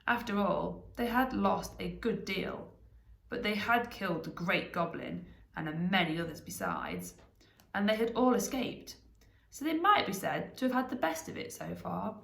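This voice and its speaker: A woman reads a story aloud with expression. Her voice sits at a medium pitch with noticeable creak. The recording has a clear room echo. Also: expressive, normal speed, fairly bright tone. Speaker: staff member reading a story aloud.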